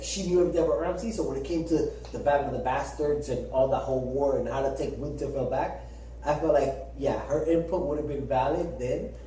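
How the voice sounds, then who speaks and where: A man co-hosting a podcast in casual chat, talking in an animated way, swearing and acting out quotes. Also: medium pitch, a little hiss or hum. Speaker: podcast co-host. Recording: echoey room, far from the mic.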